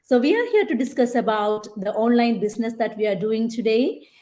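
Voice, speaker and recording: A woman's voice: on the high side, a little fast, warm tone. A woman hosting a live online interview, chatty with many fillers and restarts. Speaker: host.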